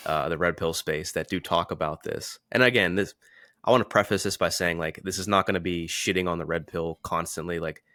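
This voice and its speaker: A man with a very deep yet bright voice talks like a podcast host in casual conversation. His wording is informal and slangy, and the recording is dry and close. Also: dry recording. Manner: informal, slangy, occasional swearing. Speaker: podcast host and men's confidence coach.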